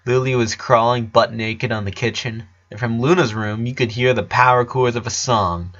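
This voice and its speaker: A man's voice: medium pitch, fairly even intonation, moderate pace, a bit bright, dull audio. A man reading a scripted story aloud as its narrator.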